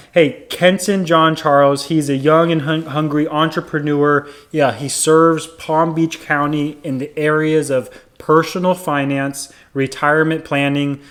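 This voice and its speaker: A young man hosting a podcast, friendly and enthusiastic, often stammering and restarting his sentences. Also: fairly high pitch. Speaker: podcast host. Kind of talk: casual interview chat.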